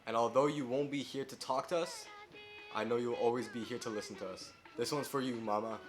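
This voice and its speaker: A man's voice: medium pitch, a little fast, over music. A man with a Canadian accent speaking in a personal farewell tribute. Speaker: grandchild giving a tribute.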